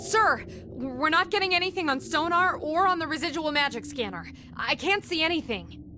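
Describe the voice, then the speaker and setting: A woman's voice: high voice, animated, normal speed, sharp tone, slight background noise. An actress playing an anxious, dutiful young female military operator, alarmed and deferential, in a video game. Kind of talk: video game cutscene acting.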